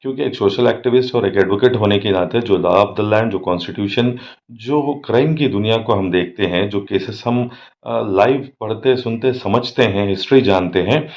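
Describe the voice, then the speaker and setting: A man's voice: fairly low pitch, normal speed, darkish tone. An Indian man giving crime commentary as an advocate and host, mixing in many English words. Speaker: advocate and social activist, channel host. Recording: dull lo-fi microphone.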